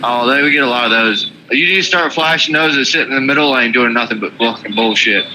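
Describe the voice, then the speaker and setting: A man's voice: medium pitch, fast talker, bright, forceful tone, slight background noise, poor audio. A man with a Southern American accent, chatting casually and swearing freely while driving. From Southern United States.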